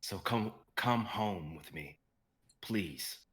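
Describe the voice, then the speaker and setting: Earnest, determined young hero acted by a man in a script reading, impassioned, halting, bright forceful tone. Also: lowish voice, lively intonation. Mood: pleading. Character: earnest, determined male fantasy hero pleading with a friend.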